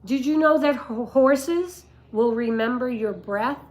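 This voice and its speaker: An older woman with a rough voice, telling stories and giving advice casually on a livestream, in an emphatic, deliberate way. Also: on the high side, darkish tone. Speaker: dog breeder and livestream host.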